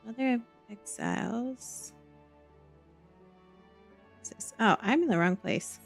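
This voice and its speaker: A woman's voice: on the high side, lively intonation, slow, gravelly. A woman giving casual live game commentary, talking to herself as she thinks aloud. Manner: talks to self, thinking aloud. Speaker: game streamer. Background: music.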